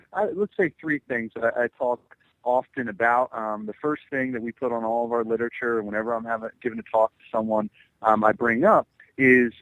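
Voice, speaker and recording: A young man in his twenties from New Jersey, talking as a guest on a radio show. He pauses often and fills his answers with "uh" and "um", and his voice sounds dry and close. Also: mid-range voice, fairly bright tone, dry recording, poor audio. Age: 20s. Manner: hesitant, heavy with fillers. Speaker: political candidate guest.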